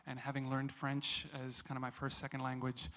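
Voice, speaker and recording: A mid-pitched male voice answering an interview at a calm, measured pace. He speaks reflectively, taking his time as he lays out his ideas. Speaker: singer with opera training.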